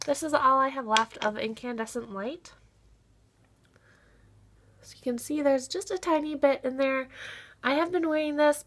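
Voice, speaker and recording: A young woman in her twenties with a slight cold, chatting casually through a makeup progress update. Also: on the high side. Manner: chatty, talks through products she shows; drops to a quiet voice to avoid being overheard. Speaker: beauty vlogger.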